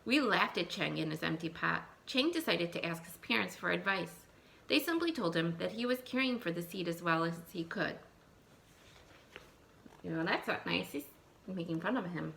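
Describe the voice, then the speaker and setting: A woman teacher reading a picture book aloud to young children, expressive, thinking aloud and putting questions to her listeners. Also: fairly low pitch, moderate pace, creaky, slight background noise. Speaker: elementary school teacher.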